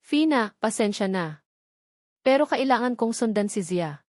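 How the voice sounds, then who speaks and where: A woman's voice: fairly high pitch, lively intonation, unhurried, a little mellow, dry recording. A woman reader plays a conflicted man from a romantic audio drama, apologetic and torn. The accent is Filipino. The recording is very dry, with no room around the voice. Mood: hesitant, apologetic.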